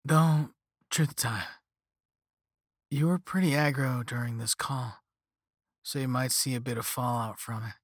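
A man's voice: medium pitch, steady tone, measured pace, long pauses, no room sound, clean studio sound, lightly acted. A man voicing a flippant, easygoing young rich slacker in a story, with a casual slangy drawl and sarcastic half-apologies. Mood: smug, placating. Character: flippant, laid-back young rich slacker on a phone call.

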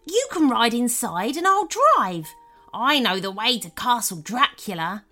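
A woman's voice: a little high, sing-song, measured pace, sharp tone, soft background music, exaggerated voice. A woman voicing a cheerful, loyal hunchback servant in a storybook, eager to please and reassuring. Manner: servile, enthusiastic. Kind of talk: storybook character voice.

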